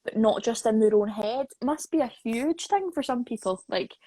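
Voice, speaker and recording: A woman's voice: a little high, animated, normal speed, warm tone, a little hiss or hum. A woman hosting a casual online interview in an enthusiastic, informal way. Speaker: interviewer, associate producer. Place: live social media video call.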